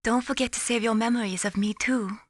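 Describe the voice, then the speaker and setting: An actress playing an earnest, gently teasing young female radar technician in a video game. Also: on the high side, fairly even intonation, a little fast, no echo, studio quality, lightly acted. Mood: playfully confident. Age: young adult. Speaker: mission support operator. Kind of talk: scripted video game voice acting.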